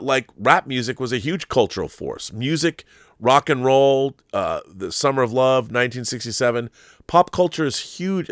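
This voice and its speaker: A man's voice: medium pitch, a bit bright, no echo, studio quality. A middle-aged man hosting a pop culture show, talking casually and with opinions, rambling and repeating words for emphasis. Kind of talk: casual opinionated monologue answering viewer questions.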